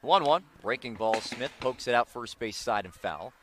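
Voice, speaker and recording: Bright-voiced American man calling baseball. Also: mid-range voice, a little hiss or hum. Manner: excited, drawn-out calls on big plays. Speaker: baseball play-by-play announcer. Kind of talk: live sports radio commentary.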